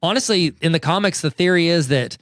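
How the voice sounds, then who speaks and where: A man's voice: a little high, brisk, fairly bright tone, no echo, clean studio sound. A man co-hosting a podcast, recapping at length in casual chat with many restarts and quoted asides. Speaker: podcast co-host.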